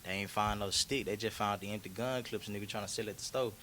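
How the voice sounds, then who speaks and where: Laid-back young man, low voice. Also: fairly even intonation, fast talker, a bit bright, slight background noise. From Memphis, Tennessee. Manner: laid-back, slangy, storytelling with frequent "you feel me". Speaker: rapper guest. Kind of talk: casual interview chat.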